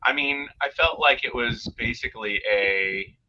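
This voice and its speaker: A man's voice: mid-range voice, a little slow, pushed and bright. A man chatting casually in a review, explaining his points and acting out mock quotes, over an online call. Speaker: guest reviewer. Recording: noisy, muffled, dull microphone sound.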